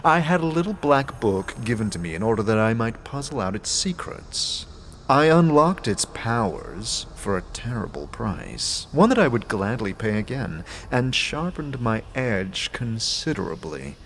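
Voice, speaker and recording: A man's voice: mid-range voice, sing-song, unhurried, fairly bright tone, a little hiss or hum. A man voicing the boastful talking skull of a dead assassin wizard, wordy, grandiose and jocular, in a fantasy audio drama. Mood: rueful, grandiose.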